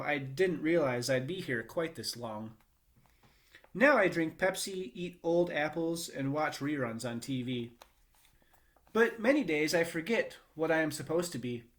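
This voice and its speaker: A man reading a children's book aloud in a measured, expressive storytelling manner with short pauses. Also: on the high side. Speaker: read-aloud reader.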